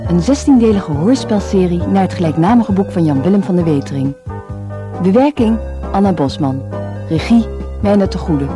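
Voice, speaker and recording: A woman's voice: fairly low pitch, expressive, normal speed, music in the background. A woman reading a scripted announcement for a radio drama serial, with credits and episode number.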